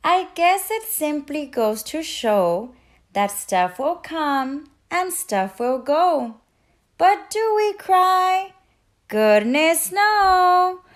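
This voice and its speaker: A woman reading a children's picture book aloud expressively, putting questions to young listeners. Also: high-pitched. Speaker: teacher and children's read-aloud host.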